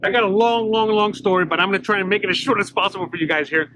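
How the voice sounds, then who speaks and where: A man's voice: high-pitched, racing, bright, forceful tone, slight background noise, rough recording. A middle-aged man from Miami telling his story in a casual walk-and-talk interview, full of fillers and restarts, recorded outdoors on a city street. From Miami, Florida. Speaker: YouTuber guest.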